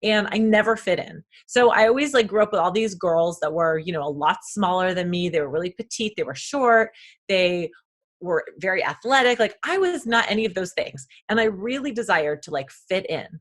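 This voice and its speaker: A woman guest on a wellness podcast who talks loudly and emphatically, sharing personal stories and affirming the host. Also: mid-range voice, lively intonation, normal speed. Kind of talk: conversational wellness talk.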